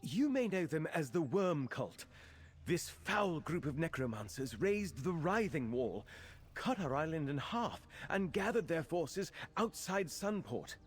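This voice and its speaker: A man's voice: a little high, sing-song, unhurried, breathy edge, heavily acted. An actor playing an earnest fantasy game watchman who gives formal briefings to newcomers. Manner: formal, expository delivery. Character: earnest male fantasy islander watchman rallying help against a cult. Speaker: quest-giving non-player character in a fantasy game. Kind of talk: scripted game dialogue.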